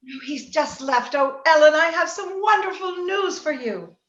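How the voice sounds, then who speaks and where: A woman playing a proud, willful young gentlewoman torn by romance, theatrical and emotional in old-time radio drama style. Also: sits high, expressive, normal speed. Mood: excited, evasive. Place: indoor room, recorded remotely over video call. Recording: roomy, dull video-call recording.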